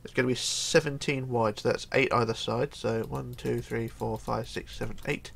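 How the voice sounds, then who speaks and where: A man's voice: medium pitch, fairly even intonation, moderate pace, gravelly, fairly bright tone. A middle-aged man with a cold talks through a casual game build tutorial at his home computer desk, chatting and counting blocks aloud, often mumbling as he goes. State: hoarse with a cold. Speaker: tutorial host.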